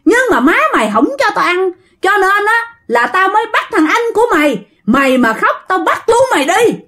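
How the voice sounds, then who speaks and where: A cruel spirit speaking through a possessed man as a woman storyteller acts it out, pitched high and swinging sharply. It makes crude, repeated threats in a Southern Vietnamese accent, in the manner of an oral ghost story. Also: lively intonation, normal speed, fairly bright tone. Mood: menacing.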